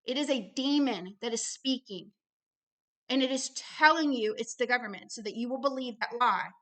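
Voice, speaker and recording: Emphatic, bright-voiced woman preaching. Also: on the high side, normal speed. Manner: emphatic, blunt, repeats phrases for stress. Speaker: ministry founder and host reading and commenting on a book.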